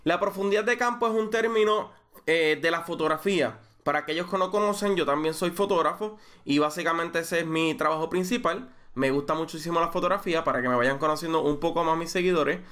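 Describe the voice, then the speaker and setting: High-voiced Puerto Rican man teaching. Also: a bit bright. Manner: explanatory, measured, with frequent fillers and restarts. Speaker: photography tutor. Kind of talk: screen-recorded software tutorial.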